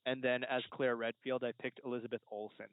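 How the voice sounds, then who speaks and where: A man's voice: medium pitch, level tone, fairly quick, clean tone, dry recording. Man, podcast host, casual chat, jokey, laughs readily, dry sound, narrow phone-like band. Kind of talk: casual chat, film discussion. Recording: tinny, dry, band-limited call audio.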